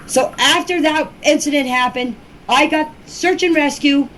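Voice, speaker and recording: A woman with a high-pitched voice gives her own side of things in an informal voice clip. She is defensive and insistent, stressing her points firmly. There is faint background noise on the amateur recording. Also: measured pace, a bit bright, rough recording. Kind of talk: personal account answering questions in a voice message.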